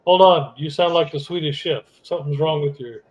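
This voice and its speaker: A man's voice: a little high, a little fast, lo-fi sound. A man co-hosting a podcast in casual chat, opinionated, crude and rambling. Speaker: podcast co-host.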